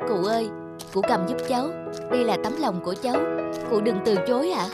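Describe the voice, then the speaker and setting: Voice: mid-range voice, fairly quick, natural voice. Performer voicing a young male cartoon street seller, polite, earnest, music behind. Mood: kind, polite. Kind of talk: cartoon voice acting.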